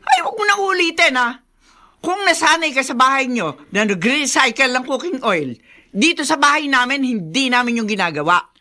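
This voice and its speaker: A woman's voice: high-pitched, expressive, normal speed. A woman playing a domineering middle-aged mother-in-law with a Filipino accent, nagging and accusatory, in a radio drama. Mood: scolding, indignant. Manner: nagging, accusatory, sharp-tongued.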